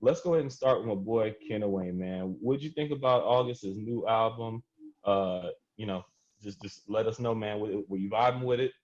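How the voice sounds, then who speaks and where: Male podcast host, low register, conversational and sweary, leading an informal panel on a muffled lo-fi recording. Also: normal speed, darkish tone. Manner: profane, slangy, conversational. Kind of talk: casual podcast round-table discussion of music.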